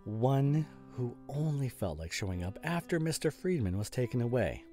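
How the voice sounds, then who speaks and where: A man playing a smug amateur detective, delivering a grandiose, deliberate monologue with dramatic pauses. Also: medium pitch, very animated, dark tone, soft background music, close to an everyday voice. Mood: triumphant, teasing. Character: smug, theatrical male amateur detective unmasking a culprit. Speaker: voice actor in an audio roleplay. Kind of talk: theatrical scripted ASMR roleplay monologue.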